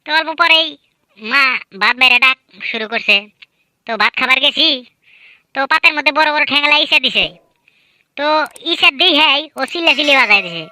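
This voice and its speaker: A high-pitched woman with a very bright, sharp tone speaks a rural Bangladeshi Bangla dialect. She voices comic cartoon banter in a lively, boastful, teasing way. The sound is dry and close to the mic. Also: brisk, pauses often, no echo, poor audio. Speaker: cartoon voice artist and narrator. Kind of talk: comedic cartoon voice acting.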